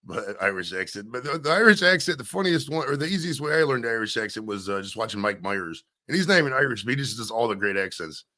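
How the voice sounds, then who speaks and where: A man's voice: mid-range voice, sing-song, fast talker, hardly any pauses, bright, forceful tone. A man hosting a live podcast interview, talking loosely and profanely in a rambling, casual way. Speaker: podcast host.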